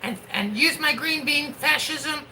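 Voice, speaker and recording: Bratty whiny girl, high mocking singsong, put on by a man; mic a bit off, noisy line. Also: a little slow, pushed and bright, recorded from a distance, exaggerated voice. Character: whiny bratty young girl answering mom.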